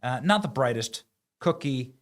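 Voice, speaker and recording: A man delivers online political commentary in a sarcastic, mocking tone. His voice sits in an ordinary middle pitch, and he leans hard on the words he wants to ridicule. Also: expressive, measured pace.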